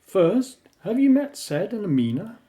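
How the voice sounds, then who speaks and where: Guy playing a chilled out sixties hippie in a radio drama, soft mellow tone, voice goes up and down. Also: high-pitched, sing-song, a little slow. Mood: laid-back. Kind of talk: radio play acting.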